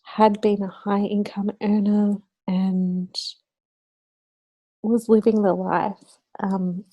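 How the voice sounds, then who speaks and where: A woman from Australia hosting her budgeting channel, talking casually to camera with a slightly croaky voice. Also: mid-range voice, flat delivery, takes it slowly, dry recording, lo-fi sound.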